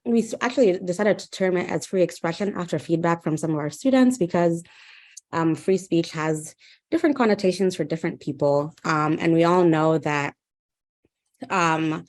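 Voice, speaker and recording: A woman's voice: fairly low pitch, darkish tone. A young woman from Uganda, a guest speaker in a webinar conversation, talking thoughtfully with many ums and restarts. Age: 20s.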